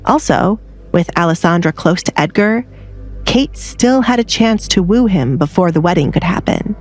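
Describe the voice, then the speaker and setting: A woman's voice: fairly low pitch, lively intonation, moderate pace, soft background music. A woman reading scripted story narration as an audiobook narrator.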